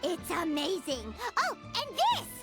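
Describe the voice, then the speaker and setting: A woman voicing a tiny, childlike, excitable fairy companion in a video game, boastful and exclamatory. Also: very high-pitched, slow pace, loud music behind the voice, full character voice. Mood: delighted, gluttonous. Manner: refers to self in third person, exclamatory. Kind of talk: video game cartoon voice acting.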